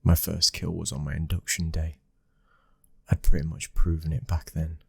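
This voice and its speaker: British guy doing a bossy menacing young mafia son, asmr roleplay, really deep n monotone. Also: normal speed, creaky, breathy edge, dry recording, full character voice. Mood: cold, dismissive. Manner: terse, domineering, menacing. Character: cold, commanding twenty-one-year-old mafia boss's son.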